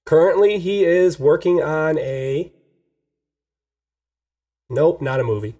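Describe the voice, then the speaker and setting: A man's voice: on the high side, slow pace, dark tone. A man co-hosting a podcast, chatting casually, laughing often and swearing freely. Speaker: podcast co-host. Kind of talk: casual radio-style chat.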